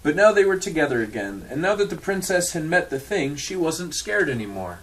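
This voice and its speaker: A man's voice: a little high, very animated, brisk, fairly bright tone, slight background noise, low-quality recording. A man reading a storybook aloud with casual asides in a dry, irreverent manner, sometimes swearing. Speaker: storyteller reading a picture book aloud to an audience.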